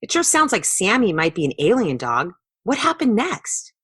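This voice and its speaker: An excitable, easily distracted young girl from a children's storybook, played by a woman. Her pitch swings in a lively way, and the recording is dry and close. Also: moderate pace, slightly rough, no echo, full character voice. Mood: amazed. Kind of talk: children's storybook read-aloud.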